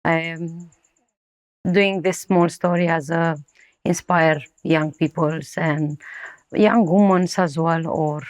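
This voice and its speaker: A woman in her forties from Romania, speaking English with a strong Romanian accent. Her voice sits a little low, and she tells her story haltingly, with many restarts. She is a guest recounting her own life in an interview. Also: fairly even intonation, poor audio. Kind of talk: personal testimony interview.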